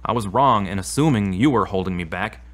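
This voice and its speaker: A man's voice: on the low side, a little fast, fairly bright tone, natural voice. A man playing a remorseful, troubled figure struggling with his anger in an audio drama, earnest and confessional.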